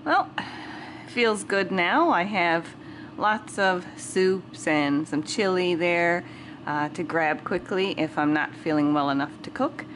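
A woman's voice: on the low side, takes it slowly, a bit bright, a little hiss or hum. A middle-aged woman chatting casually through a homesteading kitchen vlog, plainly explaining each step while filming in her home kitchen on a handheld camera. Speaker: homesteading vlogger.

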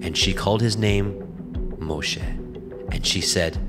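Deep guy narrating the bible, slow solemn measured read, music behind him. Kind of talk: scripted scripture narration.

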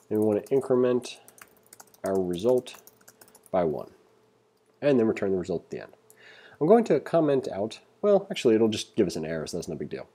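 A man with a low, very mellow voice leads an informal programming lesson. He thinks aloud as he goes, and his tone is husky and relaxed. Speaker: programming instructor. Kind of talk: casual coding tutorial, reading exercises aloud and thinking through code.

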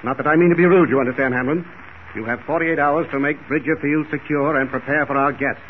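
Actor as a pompous, blustering boss, tinny old radio. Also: male voice, on the high side, fairly even intonation, fast talker, lightly acted. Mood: indignant, commanding. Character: pompous, indignant male aviation boss. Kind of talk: 1940s radio acting. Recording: tinny, hissy 1940 radio recording.

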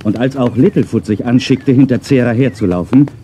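A man's voice: mid-range voice, fairly quick, dark tone, slight background noise. A man narrating a scripted audio drama for children in a storytelling style. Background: music.

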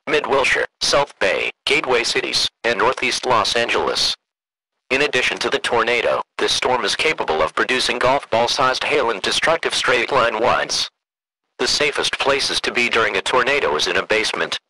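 Robotic guy reading weather warning, super bright harsh tone, formal, slight radio noise. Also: medium pitch. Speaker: emergency alert announcer. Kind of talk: scripted weather warning read-out. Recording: noisy radio-style alert audio.

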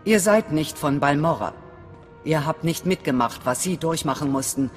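A woman's voice: low-pitched, expressive, normal speed. A woman playing a hard, distrustful resistance fighter in a video game, speaking in a blunt, commanding, menacing way. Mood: menacing, suspicious. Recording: long reverb, faint music behind.

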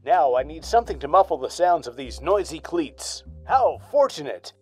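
A man's voice: on the high side, big pitch swings, moderate pace, a little mellow, slightly rough. A man voicing an earnest male pulp hero in a parody of an old-time radio serial, delivered with square-jawed heroic gusto over faint music.

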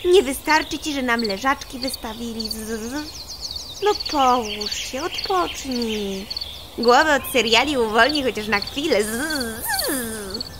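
A woman voicing a chatty, sensible little female fly in a children's audio play, expressive and given to buzzing. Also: a little high, unhurried, very noisy, natural voice. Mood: gently scolding.

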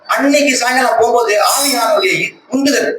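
A distant, echoing recording carries a man with a rather high voice and a Tamil Nadu accent, with clear noise behind him. He gives a personal religious testimony in an earnest, emphatic way, often repeating his phrases. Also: brisk. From Tamil Nadu, India. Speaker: church member giving a testimony, lay speaker. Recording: echoey, distant conference call with loud noise.

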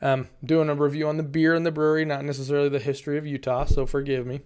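A man in his thirties talks casually to his camera about a beer, wandering off topic and filling his pauses with ums. His voice is smooth and clear and sits a little high for a man. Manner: relaxed and rambling, many ums and restarts. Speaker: beer review vlogger. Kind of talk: casual solo review. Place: small room, indoors, green screen. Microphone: desk camera, framed from the chest up.

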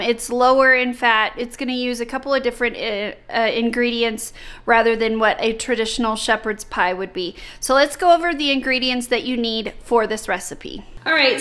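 A woman's voice: on the high side, a bit bright. A woman in her thirties hosting a home cooking vlog, talking step by step through a recipe to a handheld camera in her kitchen. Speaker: vlog host. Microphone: handheld camera close to face.

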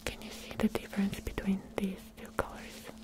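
A young woman whispering softly as an eye examiner in an ASMR roleplay, giving gentle, unhurried instructions close to the microphone. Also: medium pitch, monotone. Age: young adult. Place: small dim indoor room with curtain.

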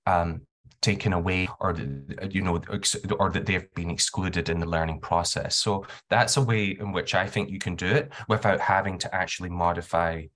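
A man in his thirties giving a webinar talk on a video call from a room at home, animated, acting out classroom exchanges. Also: low voice, normal speed. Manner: animated, acts out classroom dialogue. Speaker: webinar speaker.

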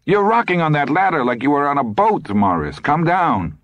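A man's voice: lowish voice, brisk, no room sound, highs cut off, pitched above the performer's own voice, natural voice. A man voicing a nagging, doleful older wife in an audiobook story, scolding and plaintive. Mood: alarmed scolding.

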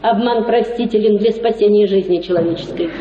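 A woman's voice: mid-range voice, brisk, echoey room, lo-fi sound, slight background noise, close to an everyday voice. An actress playing a middle-aged, scheming provincial mother in a radio play, effusive, theatrical and coaxing. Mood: rapturous coaxing. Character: scheming provincial society mother, gushing and persuasive.

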